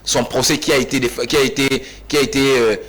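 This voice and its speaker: A man from Kinshasa, a panelist in a heated studio debate who cuts in, repeats himself and rises almost to shouting. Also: mid-range voice, fairly even intonation, fast, slight background noise. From Kinshasa, DR Congo. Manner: insistent, interrupts, repeats himself emphatically, rising to near-shouts.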